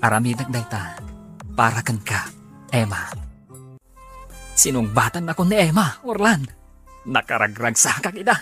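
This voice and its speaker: A man's voice: mid-range voice, lively intonation, moderate pace, pauses often, fairly bright tone, soft background music, lightly acted. A man acting a caring, devoted young man in a radio drama, speaking with an Ilocano accent. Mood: elated.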